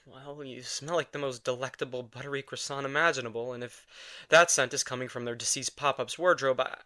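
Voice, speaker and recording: Bright-voiced, grumpy, awkward vampire played by a man. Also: mid-range voice, fairly even intonation, dry recording, clean studio sound, lightly acted. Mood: awkward, flustered. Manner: halting, flustered, terse. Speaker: voice actor. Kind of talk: romantic fantasy audio drama acting, ASMR.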